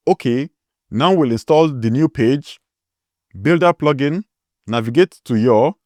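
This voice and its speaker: A man's voice: mid-range voice, a little mellow, no echo, studio quality. A man with a strong Nigerian accent hosting a tutorial, explaining step by step and spelling words out letter by letter. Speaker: tutorial host.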